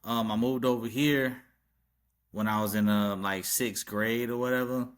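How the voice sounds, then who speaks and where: Give me a relaxed man telling his life story as a podcast guest in easy, informal speech. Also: medium pitch, measured pace, clear, resonant tone. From Arkansas. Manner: laid-back street talk, repeats "yes, sir", chuckles often. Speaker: podcast guest, tattoo artist and rapper. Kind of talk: casual interview chat.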